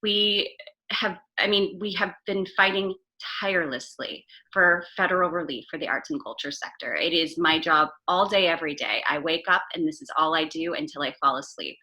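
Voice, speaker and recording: A woman's voice: sits low, moderate pace, pushed and bright, poor audio. A woman guest in an interview, an arts advocate who speaks earnestly with many "um" fillers and restarts. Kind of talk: casual interview chat.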